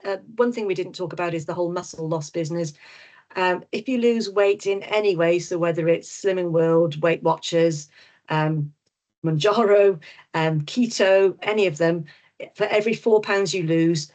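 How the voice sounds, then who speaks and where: A woman's voice: lowish voice, fairly even intonation, moderate pace, a little mellow. A middle-aged woman with a Welsh accent, a doctor and nutritionist answering webinar questions frankly and reassuringly, with plenty of ums and restarts. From Wales. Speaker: GP and nutritionist guest speaker.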